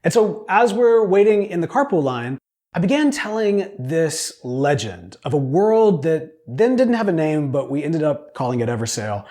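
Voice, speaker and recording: A middle-aged man telling a personal story in a conversational, earnest way as he pitches his crowdfunding project. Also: darkish tone. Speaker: podcast producer and storyteller pitching his audio drama.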